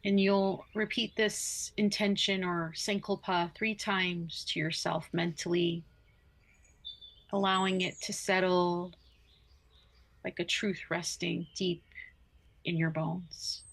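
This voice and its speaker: Slow, even-toned woman guiding meditation. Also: on the low side. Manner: soothing, unhurried, with long pauses between short phrases. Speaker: yoga nidra guide. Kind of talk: guided relaxation meditation, slow spoken instructions.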